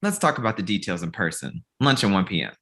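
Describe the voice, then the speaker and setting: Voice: low voice, very animated, fast talker, fairly bright tone. An actor reading the part of a blunt, exasperated male talent manager in a comedy table read.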